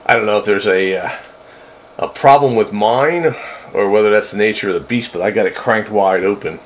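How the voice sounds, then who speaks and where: A man's voice: fairly low pitch, moderate pace. A man chatting casually over two-way radio into a desk microphone, with a wry, joking manner. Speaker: amateur radio operator. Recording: two-way radio with faint static.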